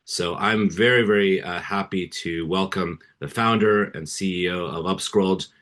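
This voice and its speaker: A man's voice: deep voice, moderate pace, a bit bright. A middle-aged man hosting a podcast interview, speaking earnestly and hesitantly with many fillers and restarts. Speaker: podcast host.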